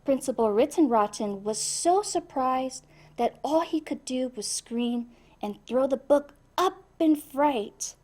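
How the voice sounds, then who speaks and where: Woman storybook reader for kids: high voice, unhurried pace, expressive delivery. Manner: expressive, addresses child listeners. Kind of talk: animated children's read-aloud.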